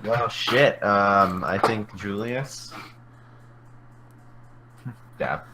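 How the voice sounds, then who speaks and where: A man's voice: fairly low pitch, extremely slow, background noise. A young man as co-host in a casual anime debate, giving short wisecracking replies.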